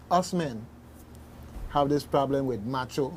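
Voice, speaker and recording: A man hosting a serious community radio discussion, speaking in a halting, emphatic way and repeating phrases deliberately. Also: medium pitch, a little mellow, a little hiss or hum. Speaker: radio talk show host.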